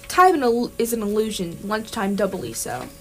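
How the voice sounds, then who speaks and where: A woman playing an unflappable alien man passing as human in an amateur radio comedy, brisk and matter-of-fact. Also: mid-range voice, very animated, soft background music, low-quality recording. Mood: deadpan, brisk. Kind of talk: amateur radio theatre acting.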